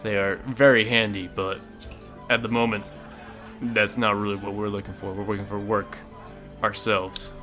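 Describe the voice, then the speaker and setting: A man playing a friendly, frank sailor in a tabletop game, speaking casually and politely with halting phrases. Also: on the low side, level tone, bright, forceful tone, faint music behind. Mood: easygoing, explanatory.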